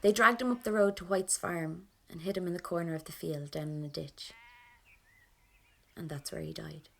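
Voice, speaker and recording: Grieving Irish woman, audio drama monologue, restrained and plain-spoken, gravelly fry, faint background noise. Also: lowish voice, steady tone, moderate pace, sharp tone. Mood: sombre. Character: grieving, stubborn Irish woman from a 1920s rebel family.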